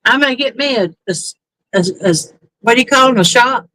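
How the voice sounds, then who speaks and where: Bright-voiced woman fishing. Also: sits high, fairly quick, dry recording, poor audio. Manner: easygoing, laughs often. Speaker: fishing streamer. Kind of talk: casual chat while fishing. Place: outdoors on a pontoon boat on a lake.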